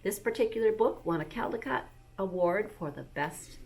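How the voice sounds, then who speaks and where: A woman, a school librarian, reading a bedtime story aloud to young children in an expressive, animated manner. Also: mid-range voice, moderate pace.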